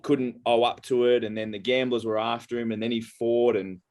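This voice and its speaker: A man co-hosting a casual podcast, telling stories in a rambling, halting way with many fillers. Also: mid-range voice, flat delivery, a little mellow. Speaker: podcast co-host. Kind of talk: casual chat.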